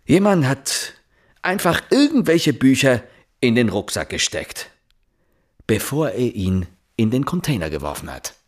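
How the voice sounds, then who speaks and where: An actor playing an insistent, probing police inspector, questioning deliberately in a naturalistic radio drama. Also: male voice, medium pitch, sing-song. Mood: deliberate, building.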